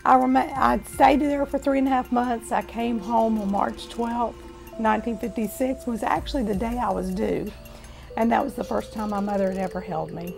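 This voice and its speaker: A woman in her fifties from Florida with a hoarse, raspy voice, telling her own life story in a plain, unhurried way. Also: fairly high pitch, fairly even intonation, music in the background, low-quality recording. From Florida, USA. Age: 50s. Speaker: testimony speaker.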